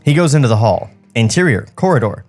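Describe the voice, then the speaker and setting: Lively man reading a script aloud. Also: mid-range voice, fast talker, faint music behind. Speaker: podcast co-host.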